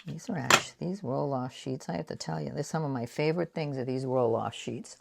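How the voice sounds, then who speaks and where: A woman in her sixties with a light Boston accent, chatting casually and rambling as she makes art prints, dropping into soft whispered asides while she works. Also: extremely low pitch, fairly quick, creaky, background noise. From New England, United States. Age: 60s. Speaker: artist and craft vlogger.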